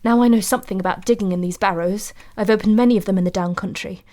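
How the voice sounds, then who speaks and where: An actress playing an anxious, haunted woman antiquarian in an audio drama, telling her tale in a measured, confiding way. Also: medium pitch, dark tone, close to an everyday voice. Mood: resigned, intense. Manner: measured, confiding, uneasy storytelling.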